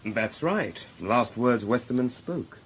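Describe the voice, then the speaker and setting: A man's voice: mid-range voice, lively intonation, takes it slowly, a little hiss or hum. A man acting a candid hospital doctor in a radio drama, British and conversationally matter-of-fact. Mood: brisk, candid. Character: brisk, candid male hospital casualty doctor.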